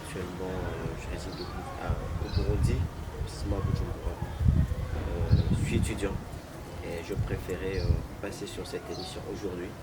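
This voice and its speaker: A man's voice: low voice, level tone. Young Congolese man, very noisy recording. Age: young adult. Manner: measured, frequent fillers. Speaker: guest, student. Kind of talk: interview answers. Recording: loud noise, birds cooing.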